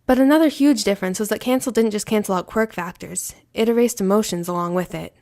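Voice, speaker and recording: A woman's voice: mid-range voice, fast, a little mellow. A woman reading scripted fiction aloud as the narrator of an audio story.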